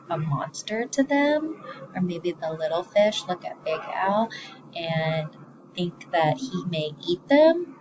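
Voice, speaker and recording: Slow-paced woman reading aloud to children. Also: fairly low pitch, muffled recording, background noise. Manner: expressive, simple explaining, pausing to ask questions. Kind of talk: children's read-aloud with questions to listeners.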